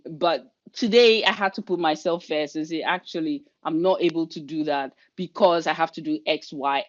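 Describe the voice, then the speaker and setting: Fast-talking Ghanaian woman on a dull video call. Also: fairly low pitch. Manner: fast, energetic, chatty delivery. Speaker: leadership coach and workshop leader. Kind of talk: online workshop presentation.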